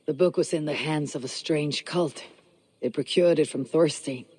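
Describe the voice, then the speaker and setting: Brief: actor as a bold Viking raider, blunt and dry, mid-pitched voice. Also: normal speed, darkish tone, husky, lightly acted. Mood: confident. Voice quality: blunt, confident, dryly witty. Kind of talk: video game cutscene acting.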